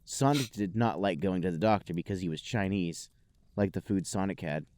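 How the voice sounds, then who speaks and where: Male podcast host, lowish pitch, dry recording, mock-serious storytelling, comedic fan fiction narration. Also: moderate pace, darkish tone, no echo.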